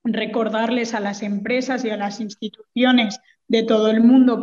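Woman, fairly high voice, muffled hall recording, measured and hesitant, formal political explanation, interview guest. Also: a little mellow. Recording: reverberant hall, muffled sound.

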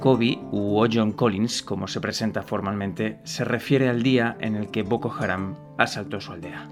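Man, lowish voice, radio reporter, scripted documentary narration, plucked guitar bed. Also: fairly even intonation, moderate pace. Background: plucked guitar music.

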